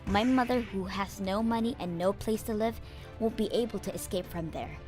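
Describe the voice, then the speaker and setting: A woman narrates a scripted story for a comic dub. Her pitch is average for a woman, and music plays faintly underneath. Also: normal speed.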